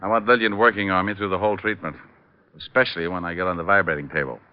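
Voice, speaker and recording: A man's voice: low-pitched, fairly even intonation, rapid-fire, heavy background noise, lightly acted. An American man playing a glib, sardonic private detective who narrates his case in an old radio crime drama. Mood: low, serious. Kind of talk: 1940s-50s radio crime drama acting. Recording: dull old radio recording, music behind.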